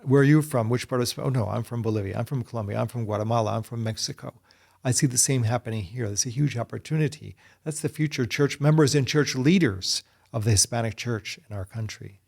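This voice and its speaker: A man's voice: mid-range voice, fast talker, vocal fry, a little mellow. A middle-aged man answering interview questions earnestly, often restarting and repeating words. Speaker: guest, Bible society secretary.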